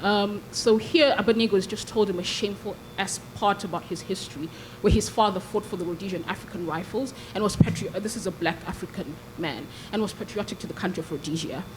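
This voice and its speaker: A woman novelist talks through her book and then reads a passage aloud at a literary panel. Her voice sits in a middle register, measured and full of small pauses. A faint low rumble sits behind her. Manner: measured, with frequent ums, reading expressively. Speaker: novelist reading her work. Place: public hall.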